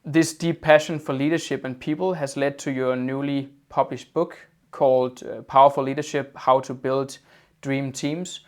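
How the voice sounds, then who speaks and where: A man's voice: medium pitch, steady tone, moderate pace, darkish tone. A man hosting a casual interview over a video call, often repeating his opening words before talking on fluently. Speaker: podcast host.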